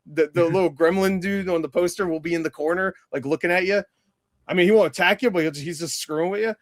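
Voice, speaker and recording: A man chatting casually as he reviews a movie, animated and quick to swear or act out bits. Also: sits high, no room sound, studio quality. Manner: animated, mimicking characters, swearing.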